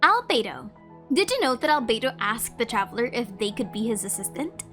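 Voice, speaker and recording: A woman's voice: a little high, sing-song, fairly quick, sharp tone, faint music behind. A woman hosting a video with casual scripted narration. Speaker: video creator, host.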